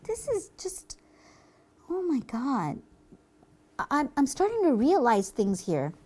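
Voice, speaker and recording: A woman's voice: high-pitched, wildly swooping pitch, slow, darkish tone, full character voice. A woman voicing an earnest, astonished pupil who scolds the devil in a children's puppet show. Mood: shocked realisation.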